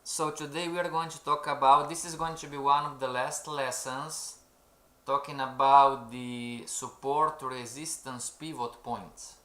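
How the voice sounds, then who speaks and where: A man leads a live-streamed trading lesson, often calling out to "everybody", checking in with "okay?" and reading out the chat. His voice sits in an ordinary middle pitch. The sound is roomy and echoey, with keyboard typing in the background. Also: fairly even intonation, measured pace, pushed and bright. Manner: keeps saying "everybody" and "okay?", reads out the chat. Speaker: trading instructor. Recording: roomy echo.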